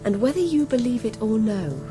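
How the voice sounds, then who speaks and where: A woman's voice: a little high, very animated, fairly quick, music in the background. A young woman acting a proud, headstrong medieval noblewoman in a radio drama, with intense, defiant, formal delivery. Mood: proud, earnest. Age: young adult.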